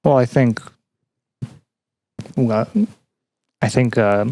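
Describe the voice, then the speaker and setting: A young man reflecting earnestly and haltingly as a guest in a podcast interview. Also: medium pitch, steady tone, a little mellow. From Canada. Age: young adult. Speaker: game developer and student.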